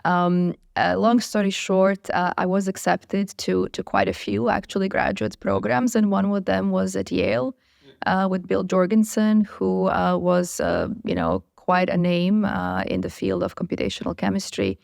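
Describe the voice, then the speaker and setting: A woman's voice: medium pitch, moderate pace, gravelly, darkish tone, dry recording, studio quality. A woman in her forties from Croatia, a biotech chief executive answering interview questions thoughtfully, with frequent fillers and restarts. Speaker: biotech CEO, guest.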